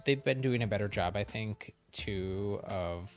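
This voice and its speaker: Low, gravelly man chatting on stream. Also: measured pace, soft background music. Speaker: game livestream host. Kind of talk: casual livestream chat.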